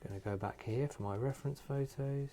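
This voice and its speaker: A man hosting a hands-on tutorial, talking casually and thinking aloud in an unhurried way with pauses. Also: medium pitch, warm tone, faint music behind. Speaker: host of a model painting tutorial. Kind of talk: casual talk-through while painting.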